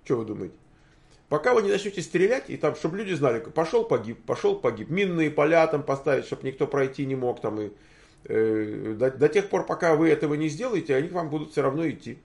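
A man in his sixties giving an informal, argumentative commentary, posing rhetorical questions and stammering through halting restarts. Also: fairly high pitch, lively intonation, gravelly, a little mellow. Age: 60s. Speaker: streamer answering viewer comments.

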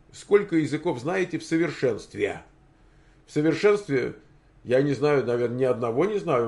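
Creaky-voiced older man, argumentative monologue. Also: medium pitch, a little mellow. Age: 60s. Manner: argumentative, rhetorical questions, halting with stammered restarts. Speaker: streamer answering viewer comments. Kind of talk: informal monologue commentary.

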